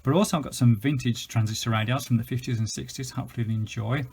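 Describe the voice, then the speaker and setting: A man's voice: mid-range voice, fairly even intonation, quick pace, vocal fry, a little mellow, slight background noise. A British man giving a casual, unscripted product demonstration as a video host, chatty and informal, with some hesitancy. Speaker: gadget reviewer and video host.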